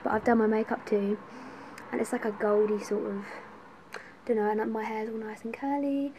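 A woman, chatting casually to a phone camera at home in a husky, strained voice, rambling with lots of fillers. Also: medium pitch, darkish tone, a little hiss or hum. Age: 20s. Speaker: vlogger. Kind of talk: casual vlog chat. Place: indoors at home, bedroom and kitchen. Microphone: phone camera held at arm's length.

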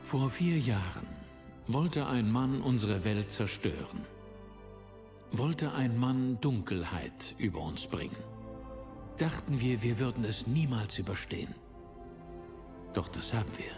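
An actor in a German game dub playing an older, commanding military corporate leader, speaking in a measured, oratorical way. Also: male voice, mid-range voice, animated. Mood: grave, stirring. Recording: clear music under the voice.

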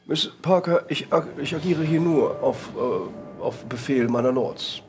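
A man's voice: medium pitch, a little slow, music in the background, lightly acted. A man voicing a dutiful, obsequious manor butler with a formal, deferential and hesitant way of talking.

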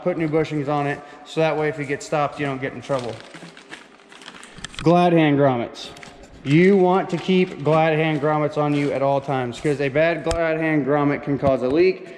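A man with a slightly high voice gives a casual vlog tour as a truck driver. He talks in an informal, folksy way, using slangy phrasing. The handheld camera audio carries long reverb and faint noise. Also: darkish tone. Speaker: truck driver vlogger showing his gear. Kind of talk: casual walk-through vlog, chatty and informal. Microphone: handheld camera on small tripod, no foam cover.

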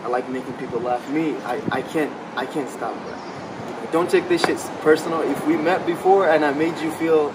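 A young man from Kenya talking in a relaxed, slangy way as an interview guest, seated on a sunny terrace. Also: a little high, normal speed. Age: young adult. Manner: laid-back, slangy, mixing in Swahili. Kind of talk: casual chat. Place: outdoor terrace, sunny day. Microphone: camera mic from a few meters away. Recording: distant outdoor recording, loud noise.

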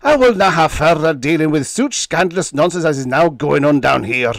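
Man playing a grizzled Scottish engineer, indignant, formal, raised higher voice, dry sound. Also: animated, a little fast, gravelly, dry recording.

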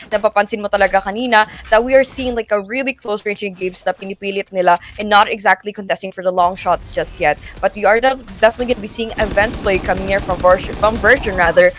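A woman's voice: medium pitch, a bit bright, a little hiss or hum. A woman with a Filipino accent commentating live on a game in a wordy, code-switching way. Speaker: esports commentator.

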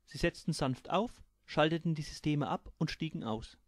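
A man's voice: fairly high pitch, moderate pace, dry recording. A man narrating his own humorous science fiction story in a dry storytelling manner. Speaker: author reading his own book. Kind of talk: audiobook narration.

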